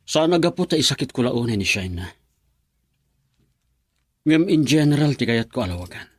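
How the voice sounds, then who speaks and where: A man's voice: medium pitch, big pitch swings, moderate pace, slightly rough, no echo. A young man with an Ilocano accent playing a troubled friend who talks in a subdued, brooding way in a radio drama.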